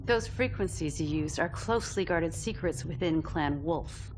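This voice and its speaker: A woman's voice: deep voice, lively intonation, moderate pace, a little mellow, slight background noise. A woman playing a composed, businesslike military officer in a game drama, speaking in a formal, clipped manner.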